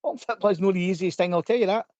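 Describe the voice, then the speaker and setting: A man's voice: high voice, rapid-fire, a little mellow, dry recording. A Scottish man chatting as a guest on a fan radio phone-in, laughing and saying man a lot. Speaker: regular guest. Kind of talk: casual phone-in chat. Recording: dry, muffled phone line.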